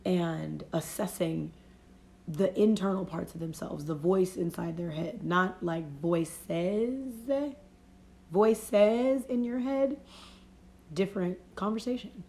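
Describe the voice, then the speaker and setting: A woman's voice: lowish voice, slow pace, vocal fry, darkish tone. A woman life coach with a cold, talking casually and reflectively on a phone livestream, repeating and rephrasing her points. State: has a cold, voice fading. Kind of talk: motivational casual talk. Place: home livestream.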